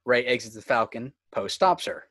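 A man's voice: medium pitch, lively intonation, normal speed, a bit bright, no room sound, clean studio sound. A man reading screenplay stage directions as the narrator of a script table read.

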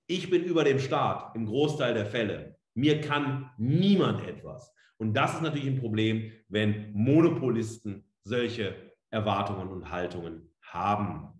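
A middle-aged man gives an emphatic, freely spoken online lecture, leaning on rhetorical questions and short tag words. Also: mid-range voice. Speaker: professor giving a guest lecture. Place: indoor room, on video call. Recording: roomy, echoing indoor sound.

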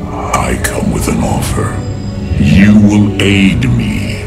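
An actor playing an arrogant, menacing alien warlord in a video game, haughty, theatrical and scornful. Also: male voice, lowish voice, animated, slow, creaky, loud music behind the voice, heavily acted. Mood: haughty, scheming. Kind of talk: villainous video game cinematic acting.

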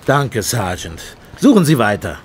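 An actor playing a sceptical, sarcastic police inspector with a gruff, commanding manner in a German radio play. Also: male voice, fairly low pitch, huge pitch swings, unhurried, slight background noise. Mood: sceptical, irritated.

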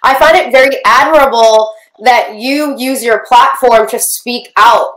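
A woman's voice: on the high side, a little slow, fairly bright tone. A young woman hosting an upbeat interview show over a video call from a room at home. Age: young adult. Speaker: show host. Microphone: video call microphone. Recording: roomy video call sound.

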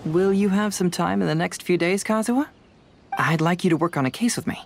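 A man's voice: sits high, brisk, creaky, a little hiss or hum. A boyish young male detective from a video game. He is breezy and confident, over faint background ambience. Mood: confident, friendly.

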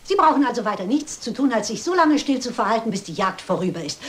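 An elderly female amateur detective with a fairly high voice. She is shrewd and motherly, setting out her reasoning with composed deliberation, in the manner of a radio mystery. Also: sing-song, fast talker. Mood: shrewd, musing. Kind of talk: German radio crime drama acting.

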